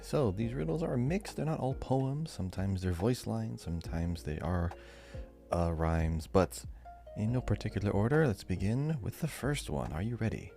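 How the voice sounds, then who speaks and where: A man's voice: fairly low pitch, lively intonation, normal speed, creaky, mellow. A man co-hosting a podcast and running a quiz in casual, conversational talk. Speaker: podcast co-host and quizmaster. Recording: faint music behind the voice. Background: faint music.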